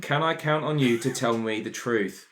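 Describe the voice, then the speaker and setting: A man's voice: medium pitch, normal speed, fairly bright tone, slight background noise. A man playing a tabletop role-playing game, talking in casual banter.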